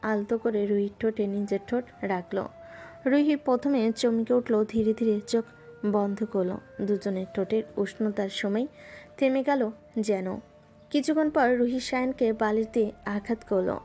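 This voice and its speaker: A woman narrating a romantic audio story in a gentle, scripted storytelling style. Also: a little high, moderate pace. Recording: music bed underneath.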